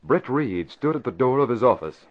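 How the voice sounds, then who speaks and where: A man's voice: medium pitch, sing-song, fairly quick. A man narrating a 1940s radio mystery in a scripted, dramatic announcer style. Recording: dull vintage radio broadcast.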